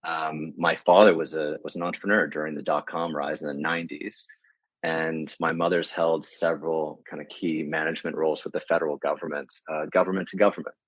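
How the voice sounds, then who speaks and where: Very deep husky Canadian man. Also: dry recording. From Canada, Prince Edward Island. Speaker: podcast guest, media agency CEO. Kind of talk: casual interview answers.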